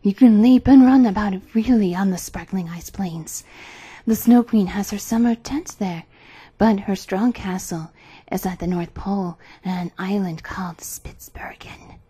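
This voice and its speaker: A woman's voice: medium pitch, unhurried, warm tone, slightly rough. A woman voicing a proud, wistful reindeer in a storybook, reminiscing with emphasis. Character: proud, wistful reindeer longing for its snowy homeland. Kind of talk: storybook character voice.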